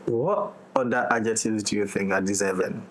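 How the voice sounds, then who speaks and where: A man's voice: lowish voice, moderate pace. A man speaking as a director in a casual, teasing conversation. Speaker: director in a discussion. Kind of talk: casual chat. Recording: noticeable background noise.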